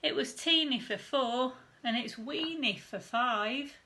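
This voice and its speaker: A grumbling little old lady from a storybook, as a woman reader puts her on, high and bright. She is exasperated and plaintive, dragging out her words slowly and exclaiming with drama. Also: slight background noise, exaggerated voice. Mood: exasperated, imploring. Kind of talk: children's storybook character reading.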